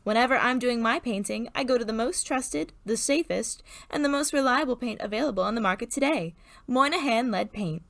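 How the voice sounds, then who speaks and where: A chipper female sponsor's announcer acted by a woman in an old-time radio ad parody. Her voice sits fairly high with a dry sound, and she is polished, wholesome and sweetly persuasive. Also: brisk, vocal fry, fairly bright tone, dry recording. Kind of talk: parody 1940s radio advertisement.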